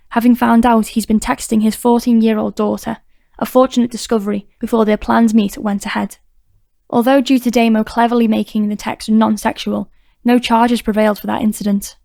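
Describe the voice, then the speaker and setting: A woman's voice: on the high side, little pitch movement, brisk, vocal fry, a little mellow, no echo, studio quality. A woman reading scripted narration for an audiobook drama.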